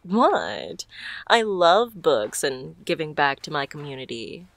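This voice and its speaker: Woman doing a flirty mafia boss lady for asmr roleplay, low voice, takes her time, teasing and smooth. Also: sing-song, dry recording, heavily acted. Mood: flirtatious, charming. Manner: teasing, smooth-talking, unhurried.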